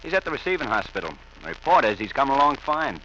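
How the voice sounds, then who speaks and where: Chatty morgue attendant, folksy, voice jumps up and down, kinda sharp, old dull radio recording. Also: male voice, medium pitch, sing-song, quick pace. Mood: chatty, matter-of-fact. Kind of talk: 1940s radio drama acting. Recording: dull vintage radio, faint noise.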